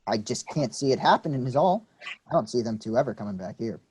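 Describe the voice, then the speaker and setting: A man's voice: medium pitch, animated, quick pace, a little mellow, breathy edge. A man reading the part of a polite, earnest teenage nephew, a little unsure of himself. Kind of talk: staged play reading.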